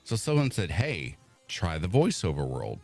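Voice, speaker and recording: A man with a voice he calls made for radio, a podcast guest telling his story in an animated way and acting out what others said. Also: low voice, normal speed, creaky, faint music behind. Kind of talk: casual chat on a podcast.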